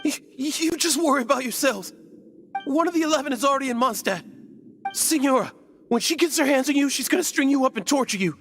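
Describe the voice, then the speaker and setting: A man's voice: very high voice, fairly quick, a bit bright, faint music behind. A man voicing a cowardly, panicked guard in a video game, stammering and frantic. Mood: fearful, spiteful. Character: panicked cowardly male guard, pleading and threatening.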